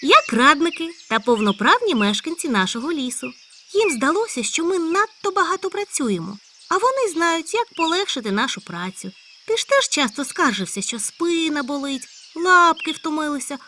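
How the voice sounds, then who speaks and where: A woman voicing an excitable, chatty male hare in a storybook tale, talking high and loud. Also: normal speed, a little hiss or hum, full character voice. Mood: pleading. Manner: eager, exclaiming, high and loud. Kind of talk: storybook character voice.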